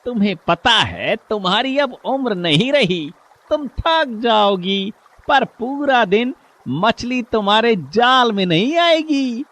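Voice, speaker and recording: A man's voice: extremely high pitch, animated, slow, a bit bright, exaggerated voice. Lakhan, a man doing a cocky young fisherman. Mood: mocking. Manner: taunting, teasing.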